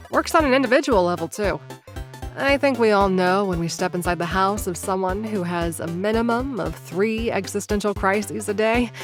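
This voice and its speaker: A woman's voice: mid-range voice, a bit bright. Wry, flustered woman narrator. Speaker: time-traveler narrator addressing listeners. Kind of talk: audio drama narration and acting.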